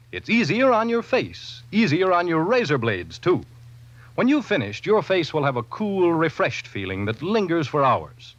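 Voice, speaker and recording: A man reading a 1940s radio commercial as the announcer, upbeat and salesmanlike. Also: a little high. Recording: muffled vintage radio broadcast.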